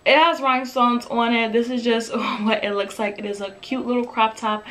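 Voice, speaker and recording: Chatty raspy-voiced young woman vlogger. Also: on the high side, steady tone, normal speed, fairly bright tone. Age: young adult. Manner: chatty, upbeat, lots of fillers. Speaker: fashion vlogger. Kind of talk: casual try-on haul chat. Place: bedroom with photo-collage wall, indoors.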